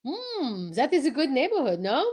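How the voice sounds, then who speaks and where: A woman's voice: high voice, wildly swooping pitch, moderate pace, darkish tone. A woman playing a courteous, shrewd foreign male detective in an old-time radio drama, speaking formally with French words sprinkled in. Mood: smug, musing.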